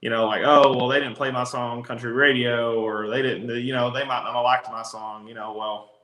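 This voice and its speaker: A raspy-voiced man, a country singer, talks easily as a guest, with lots of fillers. His voice has a bright, forceful edge, and it rings in an echoey room. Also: medium pitch, level tone, fairly quick. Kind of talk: casual interview chat.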